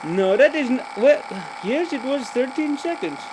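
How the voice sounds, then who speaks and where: A man putting on a high-voiced rambling joke-teller. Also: animated, measured pace, noisy background. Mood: indignant. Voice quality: broken grammar, many fillers and restarts, giggly. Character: rambling, cheerful adult man telling pointless jokes. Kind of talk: comic character monologue.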